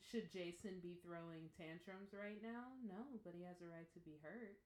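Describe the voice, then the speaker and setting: A woman giving a casual, expressive spoken reaction and review, emphatic with thoughtful pauses. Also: on the low side. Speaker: reaction show host. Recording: echoey room.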